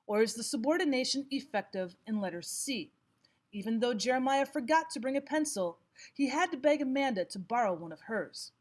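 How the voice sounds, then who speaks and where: A woman teacher giving scripted instructional narration, explaining and reading examples out deliberately. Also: on the high side, lively intonation. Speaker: language arts teacher.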